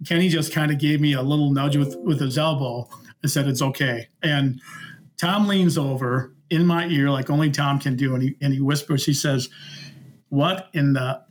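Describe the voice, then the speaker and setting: A man's voice: medium pitch, steady tone, normal speed, slight background noise. A middle-aged man with an American Midwestern accent, casually telling anecdotes in a rambling, good-humoured way as a podcast guest. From Minnesota, United States. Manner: rambling, good-humoured, acts out reported dialogue. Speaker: podcast guest, former concert security worker.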